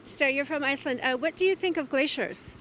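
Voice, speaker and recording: High-voiced street interviewer outdoors. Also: fairly quick, sharp tone, faint music behind. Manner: brief, repetitive questioning. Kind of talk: vox pop questions.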